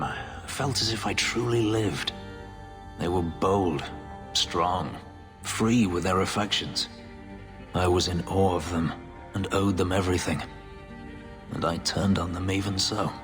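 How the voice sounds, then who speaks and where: A man playing a guarded, brooding elf and former slave in a video game, with a solemn, halting delivery. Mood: somber, reflective. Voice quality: deep, low voice. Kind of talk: dramatic video game dialogue. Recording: reverberant hall sound with music underneath.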